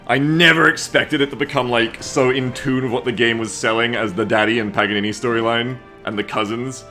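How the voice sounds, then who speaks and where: A man's voice: medium pitch, fairly even intonation, a little fast, bright, forceful tone, faint music behind. A man hosting a game stream, chatting casually about the story in sweary, colloquial banter.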